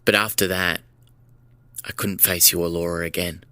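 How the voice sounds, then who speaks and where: A beaten-down fugitive in a sci-fi audio drama, his low voice forceful and tense. He speaks with earnest remorse, like a confession, in a dry, close recording. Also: level tone, moderate pace, no echo. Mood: remorseful.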